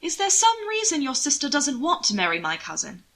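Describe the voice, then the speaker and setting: A woman voicing an amused, rakish young aristocratic gentleman in an audiobook, with a suave, drawling, teasing manner. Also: high voice, huge pitch swings, brisk, pitched above the performer's own voice, exaggerated voice. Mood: amused, musing. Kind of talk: audiobook character voice.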